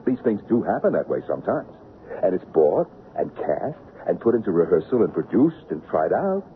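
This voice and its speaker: An actor playing a persuasive, excitable Broadway producer in an old-time radio drama, with a theatrical, emphatic delivery. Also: male voice, low voice, normal speed. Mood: incredulous, placating. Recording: noisy, narrow-band old radio recording.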